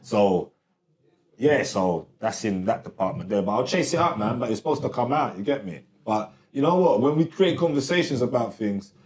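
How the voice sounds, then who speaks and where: A man's voice: lowish voice, animated, normal speed, a little mellow. Laid-back man in a roomy studio. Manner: laid-back slang, frequent "you get me", laughs often. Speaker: guest rapper. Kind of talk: casual interview chat. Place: recording studio.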